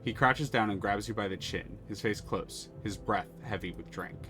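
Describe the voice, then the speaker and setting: A man's voice: on the low side, moderate pace, a bit bright, faint music behind. A man streaming a story game, reading its text aloud and chatting casually, swearing freely.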